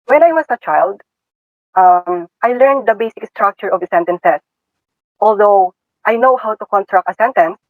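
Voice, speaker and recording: High-voiced young Filipino-accented man. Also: no echo, poor audio. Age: young adult. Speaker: student podcast guest. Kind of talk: prepared personal testimony.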